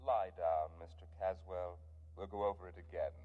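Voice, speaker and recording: An actor playing a patient, patronizing robot therapy machine in a 1950s radio drama. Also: male voice, deep voice, steady tone, moderate pace, natural voice. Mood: soothing, condescending. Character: calm, insistent robot psychotherapy machine, Martian model. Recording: muffled vintage radio recording.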